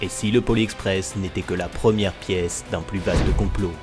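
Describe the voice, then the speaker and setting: Low-voiced man narrating a mock investigation. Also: fairly even intonation, moderate pace. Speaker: narrator of a satirical investigation video. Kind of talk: mock-documentary scripted narration. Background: background music under the voice.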